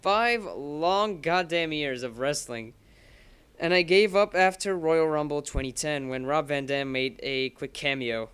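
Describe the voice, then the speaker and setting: A young man talks in a bright, pointed tone with a clean, crisp voice, his pitch sitting a little high. He rambles loosely from topic to topic as he plays a game, swearing freely and snapping at the game. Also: unhurried. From Bulgaria. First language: Bulgarian. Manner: rambling and profane, curses at the game. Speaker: let's play commentator. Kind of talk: rambling casual commentary while gaming.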